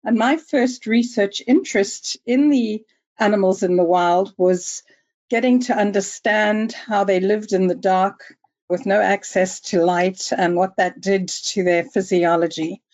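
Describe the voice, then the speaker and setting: A woman in her sixties with a Zimbabwean accent, a researcher answering interview questions in a measured, storytelling way. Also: medium pitch, a little mellow, lo-fi sound. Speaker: guest researcher.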